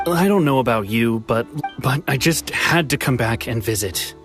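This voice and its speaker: Man acting a humbled game runaway, gentle, idealistic, earnest, hesitant, medium pitch, soft music bed. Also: expressive, moderate pace, lightly acted. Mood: earnest, regretful. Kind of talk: English video game voice acting. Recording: faint game music behind the voice. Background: faint background music.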